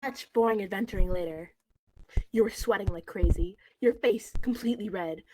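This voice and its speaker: A woman's voice: medium pitch, normal speed, background noise. A woman reading a story aloud mock-seriously, amused and dropping wry, casual comments. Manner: amused, giggly, wryly commenting. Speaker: co-reader in a fanfiction reading video.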